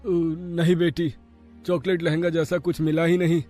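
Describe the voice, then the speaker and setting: A man's voice: fairly high pitch, little pitch movement, moderate pace, mellow, soft background music, lightly acted. A man playing a humble middle-aged father, anxious and pleading, in a dramatic story. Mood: regretful. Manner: emotional, pleading. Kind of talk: dramatic Hindi animated story acting.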